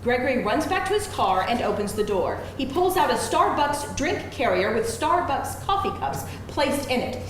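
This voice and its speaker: A woman's voice: high voice, sing-song, normal speed, few pauses. A woman reading screenplay stage directions aloud as scripted narration at a live event. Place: live event room with audience. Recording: very echoey room, faint noise.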